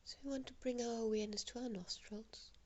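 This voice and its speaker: A woman guiding a relaxation meditation in a soft, breathy, half-whispered voice, giving gentle, hushed instructions with long pauses. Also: fairly high pitch, fairly even intonation, lo-fi sound.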